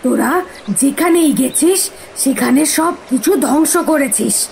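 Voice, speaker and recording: A woman voicing a fierce, threatening mermaid who guards her forest spring in an animated fairy tale. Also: sits high, unhurried, gravelly, a little hiss or hum, exaggerated voice. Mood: angry, accusing. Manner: threatening, accusatory.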